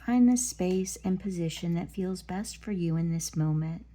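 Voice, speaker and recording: A woman leading a guided meditation, speaking gently and deliberately in short phrases with pauses between them. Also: on the low side. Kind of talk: guided body scan meditation.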